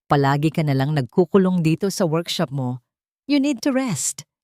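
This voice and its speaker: A woman's voice: big pitch swings, moderate pace, warm tone, no echo, clean studio sound. A woman voicing a cold, scheming rich mother-in-law who sounds sweet but mocking. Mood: falsely sweet. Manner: falsely sweet, mocking, turns shrill.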